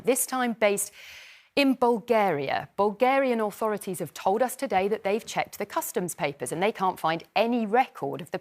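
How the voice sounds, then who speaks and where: A woman explaining findings to a presenter as a television news reporter. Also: medium pitch.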